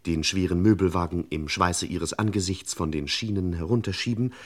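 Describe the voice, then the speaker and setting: An actor playing a wry, pompous, mock-solemn narrator of a comic crime radio play. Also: male voice, low-pitched, normal speed.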